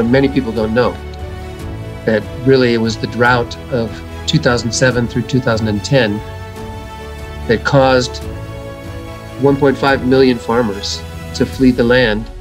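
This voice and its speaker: A middle-aged man, an environmental educator, giving measured, earnest answers in a video call interview. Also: mid-range voice. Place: home room.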